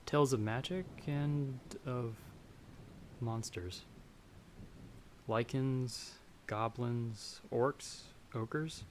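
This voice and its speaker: A young farmer, played by a man, mid-pitched and politely formal, tells his story in a fantasy audio roleplay. He is timid and easily scared, choosing his words with hesitation. A faint wash of stormy ambience sits behind his voice. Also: fairly even intonation, extremely slow, slight background noise. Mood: nervous, polite. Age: young adult. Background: stormy night ambience.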